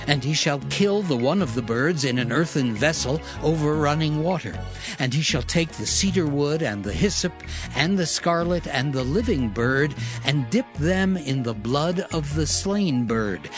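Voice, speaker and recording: A man narrating scripture in a measured, steady cadence. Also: on the high side. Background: music.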